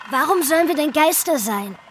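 Casting: eager, questioning girl for a children's fantasy radio play, high-pitched and lively, faint music underneath. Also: brisk, slight background noise. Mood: curious, eager. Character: curious, eager girl adventurer. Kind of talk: children's audio drama acting.

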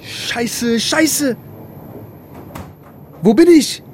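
A man's voice: very high-pitched, expressive, drawn-out words, darkish tone, slight background noise, exaggerated voice. An actor in a radio drama playing a dazed, panicky man coming off drugs. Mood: panicked, confused.

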